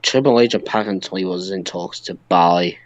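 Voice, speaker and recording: Low-voiced man chatting in a roomy space. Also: normal speed. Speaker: podcast co-host. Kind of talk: casual wrestling podcast chat.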